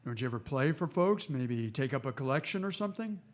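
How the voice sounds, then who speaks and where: An actor playing a firm, fair frontier marshal in a Western radio drama, plain-spoken and authoritative. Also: male voice, medium pitch, animated, a little fast, close to an everyday voice. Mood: easy, curious.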